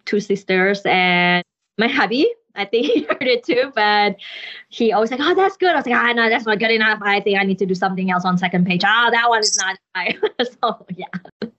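A woman's voice: mid-range voice, animated, normal speed, a bit bright, muffled recording. A woman with an Indonesian accent talking casually and informally as an interview guest, thinking aloud in a rambling way. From Jakarta, Indonesia.

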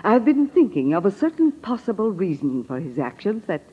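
An actress playing a grave, uneasy woman with a solemn, deliberate delivery in a 1940s radio drama. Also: mid-range voice, sing-song, slightly rough. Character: grave, uneasy woman haunted by her suspicions. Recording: muffled, reverberant old-time radio recording.

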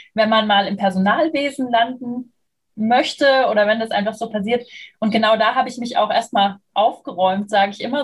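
Female interview guest, thirties, higher pitch with a husky edge, conversational. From Munich, southern Germany. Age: 30s. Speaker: interview guest, freelance trainer and moderator.

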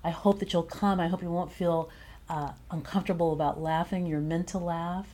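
A woman's voice: lowish voice, steady tone, normal speed, warm tone. A woman speaking casually as a guest playwright in an interview.

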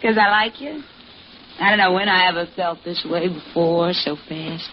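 An actress playing a scheming, hard-boiled mistress in an old-time radio crime drama, insistent, domineering and coolly manipulative. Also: female voice, fairly low pitch, normal speed, lightly acted. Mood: seductive, probing. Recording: dull, noisy vintage radio recording.

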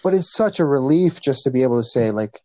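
A man's voice: medium pitch, animated, fast talker, darkish tone, no echo. A man, talking earnestly as a podcast guest in a rambling, casual way with many fillers. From Canada. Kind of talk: casual conversation.

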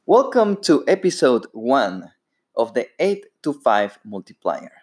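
A man's voice: mid-range voice, big pitch swings, unhurried, darkish tone, faint music behind. A man in his thirties from Ecuador with a Spanish accent, hosting a solo podcast and speaking unhurriedly with frequent fillers and restarts. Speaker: podcast host.

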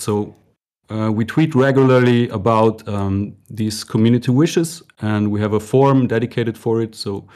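A man with a somewhat low voice, speaking softly as a guest on a casual chat show. His phrasing has a slightly non-native feel.

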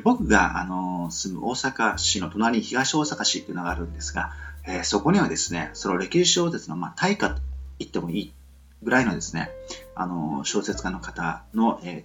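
A man hosting a solo radio show in a casual, rambling monologue, speaking politely in halting phrases padded with fillers. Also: fairly low pitch.

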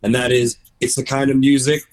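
A man who plays bass in a band, talking earnestly in a casual interview. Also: little pitch movement, brisk, darkish tone, a little hiss or hum.

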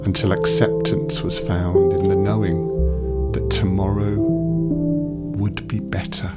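A man gives a poetry reading in a fairly low voice. He moves very slowly and deliberately, leaving space between short phrases, while plucked guitar plays prominently behind him. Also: flat delivery. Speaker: poetry reader. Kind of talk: slow, measured poetry reading. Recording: voice over loud guitar music. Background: plucked guitar music.

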